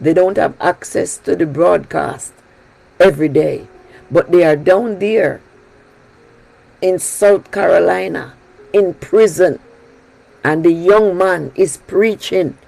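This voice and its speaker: A Jamaican woman pastor preaches with an unhurried, deliberate delivery. Her voice carries a gravelly edge, and she drives her points home by repeating key phrases with emphasis. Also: on the low side, mellow, low-quality recording. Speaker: pastor leading a prayer broadcast. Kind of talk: sermon.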